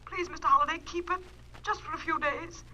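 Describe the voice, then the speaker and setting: Sharp, high-voiced frightened mother on telephone. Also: steady tone, brisk, highs cut off, exaggerated voice. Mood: pleading, fearful. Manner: urgent, anxious, pleading. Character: frightened, pleading, secretive mother, grown woman. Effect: telephone filter. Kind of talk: 1940s radio drama acting. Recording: thin telephone voice on old radio recording.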